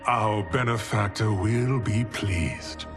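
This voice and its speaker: Sinister game prophet: low, deliberate, portentous villain over a prominent score. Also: male voice. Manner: slow, portentous, formal. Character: sinister, ominous prophet-sorcerer, ambitious former slave. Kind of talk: video game cutscene acting.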